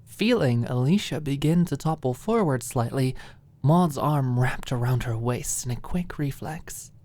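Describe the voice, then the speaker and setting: A woman narrating a scripted audiobook in ASMR style, with soft phrases that drop into a hush. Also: very deep voice, lively intonation, measured pace.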